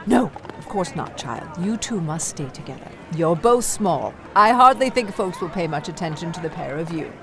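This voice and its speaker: A woman's voice: lowish voice, lively intonation, normal speed, few pauses, fairly bright tone, slight background noise. A woman playing an elderly, spirited suffragist in a children's audio drama, with genteel old-fashioned phrasing and an earnest manner. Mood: firm, kindly. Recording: music and outdoor crowd behind.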